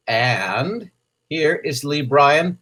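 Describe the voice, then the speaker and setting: A man's voice: on the high side, animated, extremely slow, bright, forceful tone. A man hosting a children's program, interviewing his guest in an upbeat, effusive, encouraging way. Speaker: host of a children's story program.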